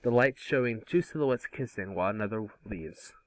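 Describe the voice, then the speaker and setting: A man's voice: fairly low pitch, lively intonation, normal speed, low-quality recording. A man reading scripted scene narration informally at an amateur table read. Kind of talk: scripted narration of stage directions.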